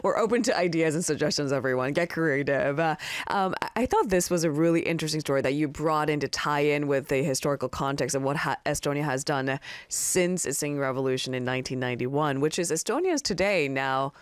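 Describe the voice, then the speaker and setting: An American woman in her thirties hosting a radio show, bubbly and quick to laugh. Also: sits low, clear, resonant tone, no echo, studio quality. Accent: American English. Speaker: radio host. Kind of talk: casual radio chat.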